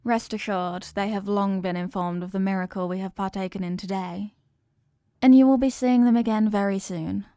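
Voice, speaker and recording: A woman acts out an evil queen for an intimate ASMR roleplay, regal and possessive. Her mid-pitched voice is formal and measured, doting on the surface and coolly manipulative underneath. The recording is dry, with very little room sound. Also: steady tone, dry recording, lightly acted. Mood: gracious, doting. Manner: formal, measured, softly commanding. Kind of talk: scripted ASMR roleplay monologue.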